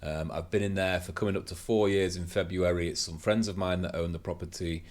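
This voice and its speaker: A man's voice: low-pitched, steady tone, a little fast, darkish tone. A man, co-hosting a casual podcast chat from a home workshop, rambling with lots of fillers. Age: 30s. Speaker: podcast co-host.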